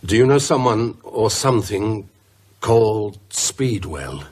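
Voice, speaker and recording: A troubled English professor in a radio horror play. His voice is husky and lively in pitch, halting and anxious, as if he fears for his own mind. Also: full character voice. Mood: haunted, tense. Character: anxious, haunted male professor, fearing for his sanity. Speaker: actor. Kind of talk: British radio horror drama acting.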